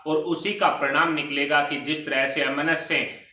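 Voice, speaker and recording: A man's voice: fairly high pitch, little pitch movement, moderate pace, pushed and bright. A man presenting political news commentary in an emphatic way, with long run-on sentences. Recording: roomy, echoing voice recording.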